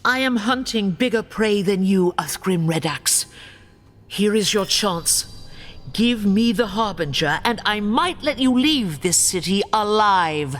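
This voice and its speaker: A woman's voice: medium pitch, expressive, unhurried, natural voice. A woman playing a bold, defiant young elf warrior in a video game, proud and declamatory. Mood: cool threat. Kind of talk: dramatic video game acting.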